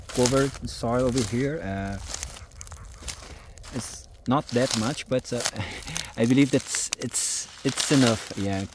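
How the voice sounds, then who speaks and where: A man's voice: on the low side, warm tone. A man with a Brazilian Portuguese accent, speaking hesitantly with many fillers in a casual outdoor farm vlog. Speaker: farmer vlogger.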